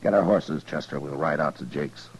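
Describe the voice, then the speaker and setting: A man acting a calm, dogged frontier marshal in a 1950s radio western, speaking in a halting, understated, dry way. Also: rumbling low, breathy edge, exaggerated voice. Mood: dry, commanding. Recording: noisy vintage radio drama recording.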